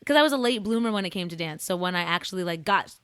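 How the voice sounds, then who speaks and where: Young woman in her 20s, bright and animated, candid podcast guest, dry studio sound. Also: lowish voice, fast talker, smooth, no echo. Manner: animated, laughs often, chatty and candid. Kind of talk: casual chat.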